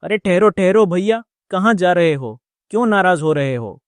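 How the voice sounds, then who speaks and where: A man voicing a flattering, wheedling scrap dealer in a cartoon story, with a placating manner. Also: high voice, normal speed, no room sound, studio quality. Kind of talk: Hindi cartoon moral story dialogue.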